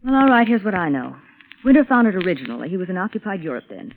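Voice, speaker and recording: An American actress playing a seductive, scheming blackmail accomplice in a 1940s radio drama, with a coaxing, intimate manner. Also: female voice, fairly low pitch, sing-song, fast. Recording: thin, phone-like vintage radio recording.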